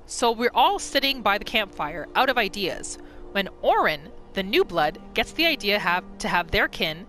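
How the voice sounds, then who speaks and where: A callous bandit acted by a woman tells a grudging tale in folksy, menacing slang. The voice is bright and forward, at medium pitch, with soft music underneath, as in a fantasy game roleplay. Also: very animated, moderate pace. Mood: gruff, scornful. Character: rough, callous bandit writing a grudging account of betrayal. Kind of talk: in-character reading of a game journal.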